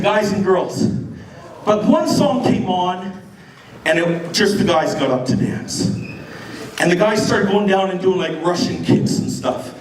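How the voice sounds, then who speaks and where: A middle-aged Canadian man telling a story live to an audience in an animated, slangy, informal way. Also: high-pitched, slight background noise. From Canada, near Detroit on the Canadian side. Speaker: b-boy storyteller. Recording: echoey hall from far off, crowd around. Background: audience crowd and laughter.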